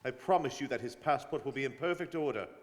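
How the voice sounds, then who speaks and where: A man's voice: mid-range voice, animated, quick pace, natural voice. A man playing a suspicious, self-assured Scotland Yard detective in an old-time radio drama. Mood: sly, confident. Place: performed live in a studio. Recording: long hall reverb on stage voices.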